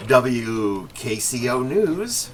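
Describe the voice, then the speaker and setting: A man's voice: mid-range voice, big pitch swings, very slow, a little hiss or hum. A man playing a sarcastic, curt and cocky news station boss in a radio drama. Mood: cocky, goading. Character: brash, dismissive male news station boss.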